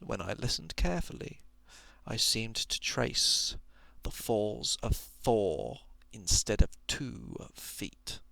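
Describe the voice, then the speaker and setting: A man reading a story in a hushed, deliberate ASMR narration style, often slipping toward a whisper, close to the microphone. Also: medium pitch, no room sound. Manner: hushed, breathy whispering at times, deliberate literary phrasing. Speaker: storyteller reading a short thriller.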